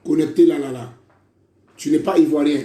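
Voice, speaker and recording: A man's voice: on the high side, steady tone, moderate pace, dark tone. A man preaching a prophetic sermon, declaiming in repeated phrases and calling listeners brother. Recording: echoey room.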